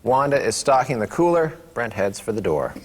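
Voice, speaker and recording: A man's voice: mid-range voice, sing-song, normal speed, in a large hall. A man reading script directions aloud at a table read. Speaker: stage-direction reader.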